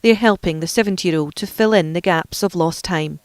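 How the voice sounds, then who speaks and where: A woman reporter reading scripted news narration in an even, measured way. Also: lowish voice, clean tone, no room sound, studio quality.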